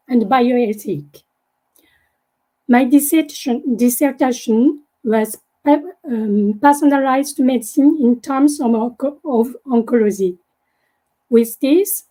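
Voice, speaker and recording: A woman from Japan with a Japanese accent, a native Japanese speaker, giving a halting presentation over a video call with many fillers and restarts. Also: fairly high pitch, warm tone, rough recording. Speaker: guest presenter.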